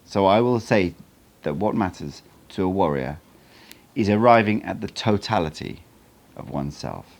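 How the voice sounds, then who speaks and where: A man voicing an old sorcerer teacher in an audiobook, wise and teasing, with a measured, cryptic and blunt way of talking. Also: low voice, expressive, darkish tone. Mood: solemn, instructive.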